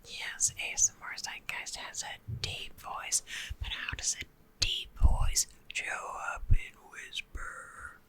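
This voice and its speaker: A woman's voice: takes it slowly, a bit bright. A woman rambling in a chatty, close-mic whisper, talking to a friend in an ASMR video. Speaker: ASMR creator.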